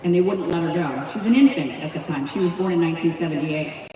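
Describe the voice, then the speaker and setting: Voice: medium pitch, fast, dark tone. A speaker giving a rousing public speech at a community event, asking many rhetorical questions. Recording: echoey hall, voice from far off.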